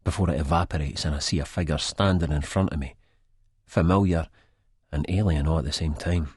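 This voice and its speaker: A Scottish man reading a first-person story in a colloquial, confiding, conversational way, with a husky voice. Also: extremely low pitch, fairly quick, a little mellow, no echo, clean studio sound. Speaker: audiobook narrator. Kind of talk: dramatic first-person scripted narration.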